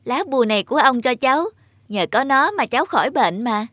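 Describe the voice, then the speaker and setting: A woman's voice: sits high, lively intonation, a little fast, fairly bright tone, no echo, studio quality. A woman voicing an earnest, affectionate young granddaughter in a cartoon dub. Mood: grateful, earnest. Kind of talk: cartoon dubbing. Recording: music behind the voice.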